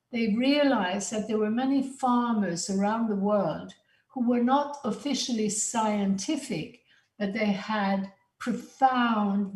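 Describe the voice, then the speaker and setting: A woman from Sweden giving an earnest, deliberate conference talk as an invited speaker. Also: mid-range voice, darkish tone. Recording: echoey conference hall.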